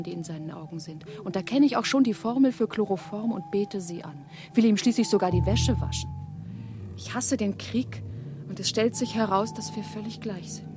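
A woman playing hushed, intense young wife. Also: medium pitch, noisy background, close to an everyday voice. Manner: intense, often hushed and whispering. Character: Eva, young wife torn between two men. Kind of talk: German radio play acting.

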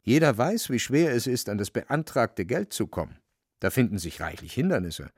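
A man's voice: medium pitch, sing-song, normal speed, husky, no echo. Dry, close-up male narrator. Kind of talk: literary narration in a radio play. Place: studio, very dry.

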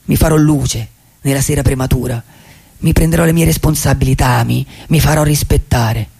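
An actor playing a lonely, lovelorn vagabond poet in a theatrical radio monologue, with a husky voice and halting, repeated phrases. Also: male voice, medium pitch, warm tone, full character voice. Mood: defiant, building.

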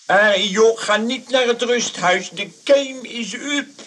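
A man's voice: very high voice, slow, fairly bright tone, full character voice. An actor playing a conniving old father, a junk dealer, in a Dutch radio comedy. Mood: agitated.

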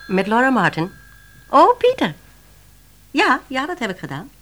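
A woman's voice: a little high, huge pitch swings, unhurried, sharp tone, exaggerated voice. An actress playing a busy, slightly exasperated woman who heads a publishing department, in radio drama dialogue. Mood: pleased, polite.

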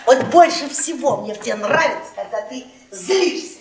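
A stage play heard from far off in an echoey hall with an audience behind. a brash, hard-drinking wife with a fairly high voice. She taunts her husband and repeats herself haltingly. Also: lively intonation, a crowd in the background. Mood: mocking. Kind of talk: Russian theatre radio play, naturalistic dialogue.

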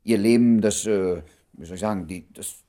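A man's voice: fairly low pitch, moderate pace, mellow, natural voice. An actor in a German radio play playing an awkward, flustered male doctor who hesitates and fumbles for words. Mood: evasive, curious. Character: flustered, awkward doctor, nosy but bashful friend.

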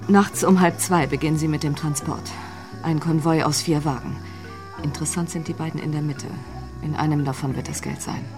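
An actress playing a proud, prickly heist accomplice in a radio crime drama, curt and defiant. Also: female voice, low-pitched, steady tone, fairly quick, over music. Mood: cool, prickly.

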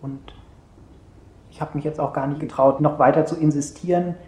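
An actor playing a male therapist in a naturalistic radio play, asking probing questions in a measured, halting way. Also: on the high side, fairly even intonation, a little mellow, natural voice. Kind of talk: naturalistic radio drama dialogue. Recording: roomy sound with faint noise.

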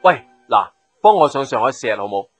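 A man's voice: medium pitch, big pitch swings, fairly quick, slightly rough, no echo, faint music behind. An actor playing a chatty, breezy, slightly exasperated male boss in a radio drama, with a Hong Kong Cantonese accent. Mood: harried, friendly. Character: brisk, chatty, fussing male office boss.

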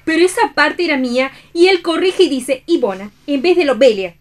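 A woman's voice: high-pitched, lively intonation, a little fast. An actress playing a jealous, romantic woman in a theatrical radio play. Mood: resentful.

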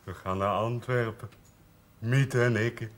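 An actor playing a childlike, lovestruck village simpleton in a television drama, speaking in halting, naive, blunt phrases. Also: male voice, medium pitch, steady tone, natural voice. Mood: naive, eager. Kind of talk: 1970s Dutch television drama acting.

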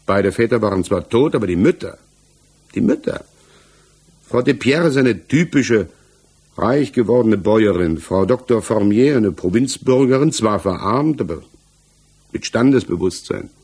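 A man's voice: on the low side, animated, a little mellow, husky. An actor playing a defensive, hesitant married village tradesman under questioning in a 1960s German radio play, searching for his words. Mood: hesitant, reflective. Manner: halting, searching for words, evasive.

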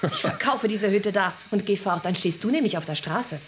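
An actress playing a bitter, mocking wife in a radio drama, sneering and contemptuous. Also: female voice, mid-range voice, normal speed, a little hiss or hum, close to an everyday voice. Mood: bitter scorn.

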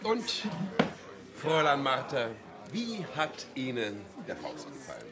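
Pompous professor with wildly swinging pitch. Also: male voice, huge pitch swings, measured pace, very noisy. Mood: pompous, lecturing. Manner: pompous, lecturing, interrupting. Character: pompous, blustering nationalist German professor, male. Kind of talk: radio drama acting. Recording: studio radio play with loud music underneath.

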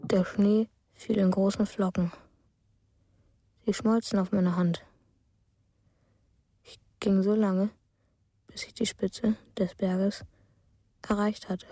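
A woman playing a quiet, dreamy narrator of a child's strange stories in a radio drama, husky, soft and unhurried. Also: medium pitch, level tone, dry recording. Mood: dreamy, detached. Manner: simple, unhurried, matter-of-fact. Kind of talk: slow storytelling in a radio drama.

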